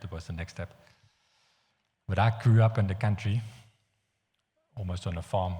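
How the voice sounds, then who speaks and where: Deep-voiced Dutch man preaching in a hall. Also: warm tone. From Netherlands. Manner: earnest, halting with restarts. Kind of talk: sermon. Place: church hall. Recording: long hall reverb.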